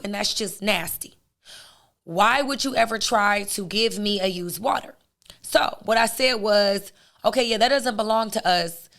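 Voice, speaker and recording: A hoarse woman hosts her own podcast and talks straight to her listeners. Her voice sits at an average height for a woman and has a rough rasp. She comes across as casual, chatty and outspoken. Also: medium pitch, a bit bright. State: hoarse, raspy voice. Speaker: podcast host.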